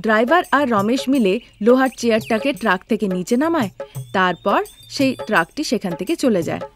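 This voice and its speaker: A woman's voice: a little high, expressive, fairly quick, faint music behind. A woman narrator telling a cartoon story in a scripted storytelling style.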